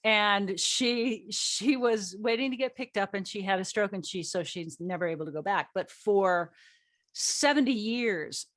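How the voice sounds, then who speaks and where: Middle aged woman hosting a casual chat, playwright type, tells stories w/ little acted quotes, jokey about herself, medium pitch. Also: unhurried, a bit bright. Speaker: playwright and event host. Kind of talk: casual group chat, storytelling.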